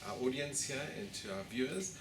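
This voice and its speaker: A man's voice: mid-range voice, expressive, brisk. A man introduces the evening's speaker, stopping often on "uh" and "um". He sounds far from the mic in an echoey hall, with noise behind him. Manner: hesitant, many fillers. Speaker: host. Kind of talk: event introduction. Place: lecture hall with live audience. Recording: distant, echoey and noisy.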